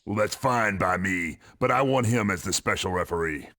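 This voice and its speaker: An actor playing a blunt, wary wrestler in a game cutscene, measured and sardonic. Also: male voice, deep voice, pushed and bright. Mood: skeptical, challenging. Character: blunt, wary male wrestler giving hard advice. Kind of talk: video game cutscene acting.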